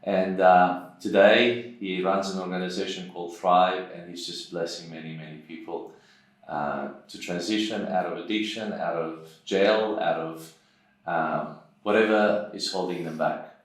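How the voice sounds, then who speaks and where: Deep-voiced male host in an echoey room. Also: fairly even intonation, unhurried, far from the mic. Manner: brief prompting questions. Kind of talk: interview chat.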